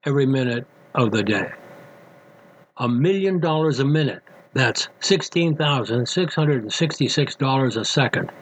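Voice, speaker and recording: An older man born in Mexico hosts his business podcast, reading a measured monologue full of rhetorical questions. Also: mid-range voice, breathy edge, low-quality recording. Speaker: podcast host.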